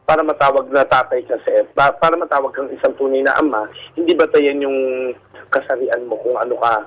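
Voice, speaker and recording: Husky, effeminate Filipino man telling his story. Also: mid-range voice, fairly even intonation, brisk, a bit bright, poor audio. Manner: effeminate way of speaking. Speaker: radio show guest telling his life story. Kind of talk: candid personal storytelling in an interview. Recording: radio broadcast with music underneath. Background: background music.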